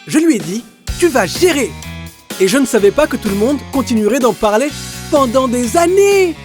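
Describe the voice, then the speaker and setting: A woman's voice: high voice, big pitch swings, moderate pace, a little mellow. A woman voicing a boastful, flamboyant hairdresser uncle in a children's cartoon dub, with theatrical delivery. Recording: music behind the voice.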